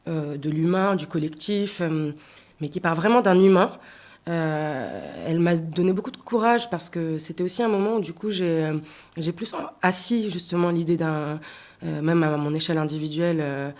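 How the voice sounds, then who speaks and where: A woman in her thirties from Tunisia, a singer answering interview questions in a reflective way, pausing often and restarting her sentences. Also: lowish voice, darkish tone. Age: 30s. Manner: thoughtful, many hesitations and restarts. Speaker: singer-songwriter guest.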